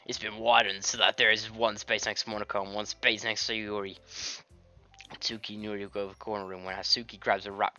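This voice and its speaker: A man's voice: on the low side, sharp tone. A British man reading a game aloud in a jokey way, stumbling over words and adding crude asides. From Britain. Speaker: gaming video host. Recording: music behind the voice. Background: game music.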